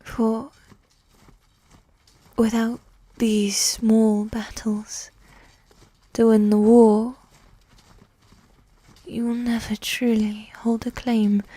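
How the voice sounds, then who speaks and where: A woman playing a regal, seductive, coolly manipulative evil queen in a medieval ASMR roleplay, speaking in a soft, breathy whisper with formal, measured phrasing. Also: a little high, dry recording. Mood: gently persuasive. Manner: slow, measured, formal, with long pauses.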